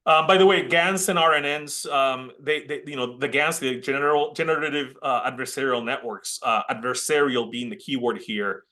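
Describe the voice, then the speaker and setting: A bright-voiced man in his thirties with a Puerto Rican accent gives a casual webinar presentation. He talks in a chatty way, restarting sentences and filling gaps with "uh." He is heard through a computer mic on a video call. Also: mid-range voice, moderate pace, few pauses. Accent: Puerto Rican Spanish. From Puerto Rico. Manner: chatty, many restarts and "uh" fillers. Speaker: college dean presenting a webinar.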